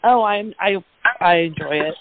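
A woman's voice: on the low side, normal speed, no room sound. A woman of forty chatting casually as an actor being interviewed. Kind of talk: casual chat. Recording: dry, tinny phone-like band.

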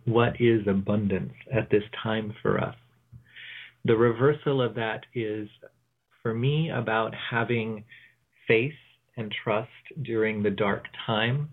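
Male radio host, lowish pitch, reflective off-the-cuff monologue, measured and pausing, narrow telephone sound. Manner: measured, pausing mid-phrase. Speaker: tarot reader. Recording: thin phone-like audio.

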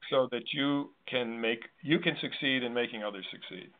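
A man hosting a conversational interview, thinking aloud in long, halting, wordy sentences. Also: medium pitch. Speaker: interview host. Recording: narrow, tinny phone-like line.

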